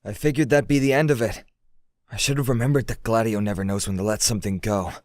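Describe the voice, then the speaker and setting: A man's voice: fairly low pitch, fairly even intonation, quick pace, dry recording, clean studio sound, lightly acted. A man playing a loyal, hot-tempered young man in an audio drama, speaking in a casual, slangy way. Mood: subdued, reflective. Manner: casual, slangy first-person storytelling. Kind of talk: audio drama narration and dialogue.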